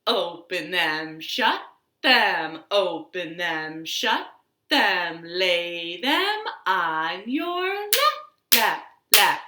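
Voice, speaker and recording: A woman's voice: lowish voice, sing-song, very slow, bright, forceful tone, a little hiss or hum. A woman hosting storytime for young children, speaking in simple, encouraging phrases as she leads rhymes and reads aloud. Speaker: children's storytime host at a library. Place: living room at home. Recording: roomy, echoing home room sound.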